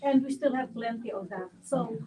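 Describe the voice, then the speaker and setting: A woman's voice: a little high, normal speed, far from the mic, very noisy. A woman asking an earnest, concerned question from the audience, with hesitations. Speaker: community member asking a question.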